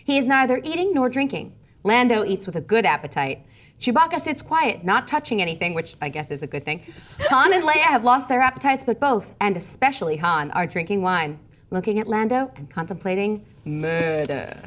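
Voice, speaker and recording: A woman's voice: mid-range voice, big pitch swings, normal speed, a bit bright. A woman guest doing a playful dramatic reading of a script's screen directions.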